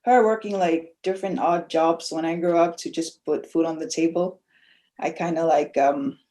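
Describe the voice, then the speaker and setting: Soft-spoken woman from Tonga. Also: deep voice, steady tone, moderate pace. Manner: soft-spoken, emotional, laughs often. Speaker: guest. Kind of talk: casual interview.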